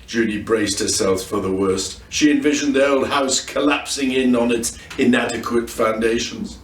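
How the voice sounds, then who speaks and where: A man reading a children's story aloud in an expressive, dramatic manner, often letting the ends of phrases drop away. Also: mid-range voice, moderate pace. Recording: roomy echo, distant microphone.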